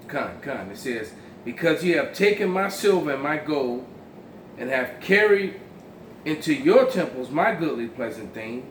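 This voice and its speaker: Male scripture reader, measured delivery, distant and roomy. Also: medium pitch, expressive, fairly bright tone. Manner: slow, measured reading. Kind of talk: reading aloud in a group discussion. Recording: distant mic in a noisy, echoey room.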